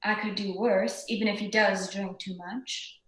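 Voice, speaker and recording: A woman playing a tough, sharp-tongued femme fatale in an old-time radio detective play, curt and sardonic. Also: mid-range voice, steady tone, measured pace, natural voice. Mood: bitter, vengeful. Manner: curt, hard-boiled, sardonic. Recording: echoey room sound.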